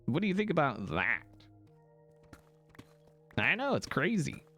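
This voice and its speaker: A man hosting a game live stream, chatting casually and thanking viewers, with a gravelly morning voice. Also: on the high side, huge pitch swings, sharp tone, faint music behind. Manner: playful, jokey, thanks donors rapidly. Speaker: livestream host.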